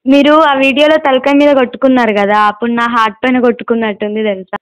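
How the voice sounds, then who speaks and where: Quick-talking, higher-voiced woman on a phone call. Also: no echo. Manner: coy, insistent, teasing. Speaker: prank caller. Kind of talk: casual phone chat. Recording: slightly dull, dry phone call audio.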